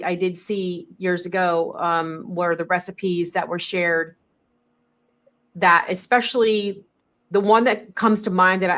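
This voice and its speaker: A woman from the American South hosting a podcast interview, responding with quick, enthusiastic affirmations. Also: lowish voice, a bit bright. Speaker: podcast host. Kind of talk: casual interview chat.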